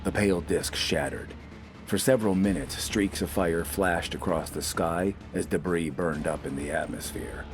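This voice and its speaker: A man's voice: deep voice, normal speed, soft background music. A man narrating scripted fiction in a dramatic storytelling style.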